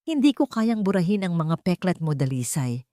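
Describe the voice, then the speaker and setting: A woman voicing a gentle, sincere male doctor in love, in an audio romance story. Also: on the low side, sing-song, normal speed, no echo, studio quality. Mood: tender.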